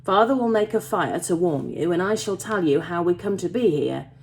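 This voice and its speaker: A kind young working man from a storybook as a woman narrator puts him on, protective and earnest. He speaks Yorkshire-accented dialect in a coaxing way, with pitch that rises and falls freely. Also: expressive, moderate pace, darkish tone. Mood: kind, reassuring. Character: kind young male tin miner, protective, reassuring.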